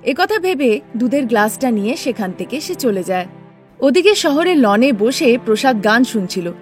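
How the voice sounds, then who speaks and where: Woman storyteller, pretty high voice, scripted narration with faint music under it. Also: very animated, normal speed.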